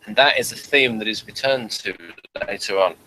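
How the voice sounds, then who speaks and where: A man's voice: fairly low pitch, moderate pace, pushed and bright, a little hiss or hum, rough recording. A man co-hosting a review show in casual discussion, hesitant and repeating words as he thinks aloud.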